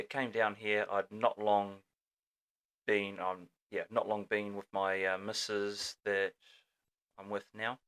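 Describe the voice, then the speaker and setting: A man's voice: low voice, a bit bright, clean tone. A man from Auckland with a New Zealand accent, a guest on a casual podcast in a small studio, talking in an easygoing, chatty way with lots of fillers. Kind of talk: casual chat interview.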